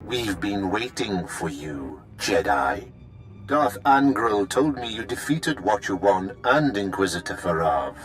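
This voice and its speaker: Menacing male sci-fi game villain, deep-voiced, sadistic, sneering. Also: sing-song, low-quality recording. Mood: smug, taunting. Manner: sneering, deliberate. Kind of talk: video game voice acting. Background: music.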